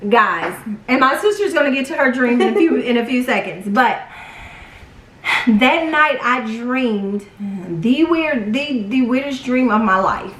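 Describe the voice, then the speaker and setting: A young woman with a high voice tells a personal story in a casual, dramatic way. She acts out quotes as she goes. The sound is roomy and distant from the mic. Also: measured pace, a little hiss or hum. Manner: animated, dramatic retelling with acted quotes. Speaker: vlog storyteller.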